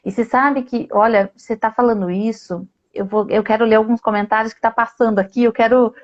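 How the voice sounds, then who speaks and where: A woman from Brazil hosts a live-streamed conversation, her voice sitting a little high. She tells a personal story in a lively, chatty way. The video-call audio sounds somewhat dull and muffled. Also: brisk. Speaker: host.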